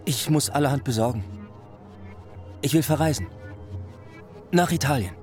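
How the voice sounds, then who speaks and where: An actor playing an earnest, anguished young lover in a radio drama, with a fervent, uneasy delivery. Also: male voice, mid-range voice, lively intonation, normal speed, a little mellow, soft background music, close to an everyday voice. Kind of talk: literary radio drama acting.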